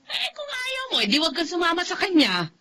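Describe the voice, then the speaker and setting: A woman's voice: very high voice, wildly swooping pitch, a little fast, sharp tone, exaggerated voice. A woman with a Filipino accent playing a concerned, frank confidante in a radio drama. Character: concerned, frank female confidante named Ines.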